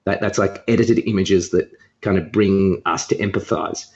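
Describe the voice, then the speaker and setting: A man's voice: deep voice, fairly even intonation, normal speed, a little mellow, husky, lo-fi sound. A man co-hosting a podcast, chatting casually and giving his opinions. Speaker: podcast co-host.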